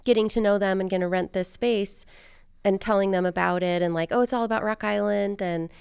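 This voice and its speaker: An American woman in a dry, close recording, with some vocal fry in an otherwise clear voice. She hosts in a casual, conversational way, informal and peppered with "like". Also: medium pitch, steady tone, fairly quick, darkish tone, dry recording. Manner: conversational, informal, frequent use of "like". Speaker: podcast host. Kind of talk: casual interview chat.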